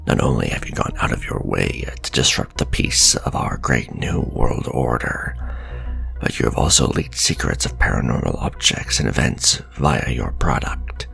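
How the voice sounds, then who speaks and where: A man playing a stern, menacing government agent in an ASMR roleplay, speaking in a pompous, deliberate, accusatory way, half whispered. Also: expressive, a bit bright, soft background music, exaggerated voice. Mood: stern, accusing. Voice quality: deep, gravelly, breathy near-whisper.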